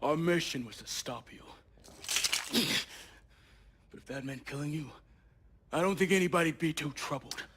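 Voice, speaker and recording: Higher-voiced, defiant soldier. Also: male voice, a little slow, long pauses, fairly bright tone, a little hiss or hum. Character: defiant, loyal male soldier. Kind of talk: scripted cinematic game acting. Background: dramatic score.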